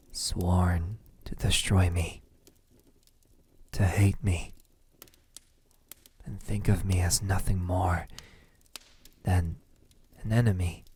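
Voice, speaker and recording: Man acting as a slow, husky, brooding male vampire. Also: sits low, heavily acted. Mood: hurt, frustrated. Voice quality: soft, breathy, husky. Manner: slow, halting, intimate, brooding delivery. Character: lonely, lovesick male vampire, menacing toward humans. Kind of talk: ASMR roleplay acting.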